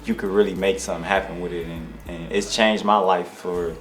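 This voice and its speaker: A young man from Detroit speaks in a very low voice. He rambles in a relaxed, casual way, restarting sentences and dropping slang as a rapper sitting for an interview. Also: brisk, soft background music. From Detroit, USA.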